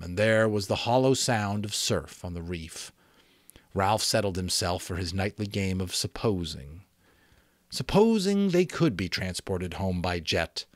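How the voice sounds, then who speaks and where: Male audiobook narrator: dramatic, expressive, widely varied intonation, close dry sound. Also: fairly low pitch, no room sound. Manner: expressive storytelling, occasional stumbles. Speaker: audiobook reader.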